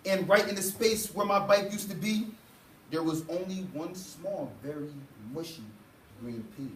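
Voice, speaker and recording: A young man reading a children's story aloud to a class with expressive, dramatic phrasing, as a student teacher. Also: high-pitched, unhurried. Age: young adult. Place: indoor school classroom. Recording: roomy, distant mic, faint noise.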